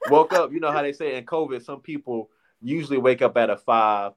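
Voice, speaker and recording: A man's voice: medium pitch, steady tone, fairly quick. A man in his thirties from the Detroit area, telling his life story in a rambling, slangy way as an interview guest. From Detroit area, USA. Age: 30s. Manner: rambling, many restarts and slang. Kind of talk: casual personal testimony.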